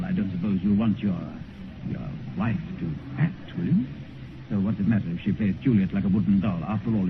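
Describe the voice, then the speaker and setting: Man playing a quick, cynical English aristocrat, old radio. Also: lowish voice, lightly acted. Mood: breezy, dismissive. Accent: upper-class English. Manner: languid, epigrammatic, amused condescension. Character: cynical, witty, urbane English gentleman aristocrat. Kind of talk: 1950s radio drama acting. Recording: tinny, noisy 1950s radio recording.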